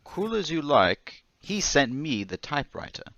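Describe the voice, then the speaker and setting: A man playing a meek, polite and nervous murder suspect in an old-time radio mystery, hesitant and stammering but quietly stubborn. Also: a little high, big pitch swings, a little slow, dull audio. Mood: earnest, insistent.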